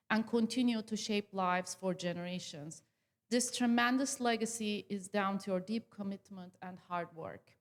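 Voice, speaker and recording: A woman from Turkey, reading a gratitude letter aloud in an earnest, formal way at a public farewell event. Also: mid-range voice. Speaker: audience member. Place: event hall. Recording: long hall reverb.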